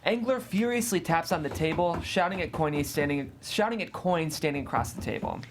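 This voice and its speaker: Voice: mid-range voice, animated, brisk, slight background noise. A narrator reading screenplay stage directions in a matter-of-fact, descriptive way.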